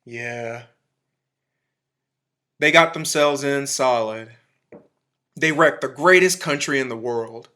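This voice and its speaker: A man's voice: mid-range voice, takes it slowly, pauses often, sharp tone. An actor playing an embittered, zealous militiaman who survived an alien invasion, in a radio drama, speaking in emphatic, folksy, clipped phrases. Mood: bitter, defeated.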